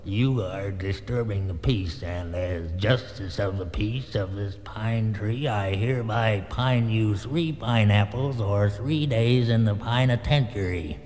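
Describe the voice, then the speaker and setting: A man's voice: fairly low pitch, measured pace, few pauses, a little mellow, big-room sound, a little hiss or hum, lightly acted. A man doing a sleepy pompous old owl. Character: drowsy old male owl, pompous justice of the peace.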